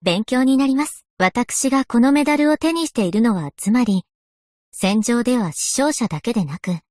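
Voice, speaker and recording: A woman's voice: on the high side, lively intonation, moderate pace, a little mellow, no room sound, clean studio sound, natural voice. A woman voicing a polite, scholarly young historian in a game, speaking with formal, refined courtesy. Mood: polite, earnest. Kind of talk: scripted game character voice lines.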